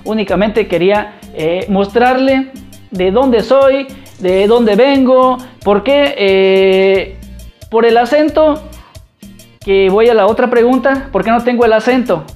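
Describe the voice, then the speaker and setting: Male vlogger, Mexican accent, casual question-answering chat, halting and repetitive, faint background music. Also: very high voice, a little mellow.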